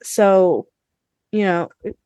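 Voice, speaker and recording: A woman hosting a podcast, chatting casually with co-hosts in an informal, expressive way with some swearing. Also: medium pitch, takes it slowly, clean tone, dry recording. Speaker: podcast host. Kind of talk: casual review chat with co-hosts.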